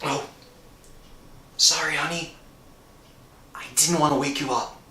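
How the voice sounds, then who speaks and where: A man playing a frantic, guilt-ridden working-class thief in an old-time radio thriller, agitated and halting as he confesses. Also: on the high side, fairly even intonation, sharp tone, exaggerated voice. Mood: anxious, restless. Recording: roomy echo with music underneath.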